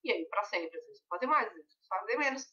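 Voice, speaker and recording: A woman's voice: mid-range voice, lively intonation, fairly quick, bright, forceful tone, recorded from a distance, dull audio. A woman leading a weight-loss mentoring session, talking conversationally and explaining things with everyday examples.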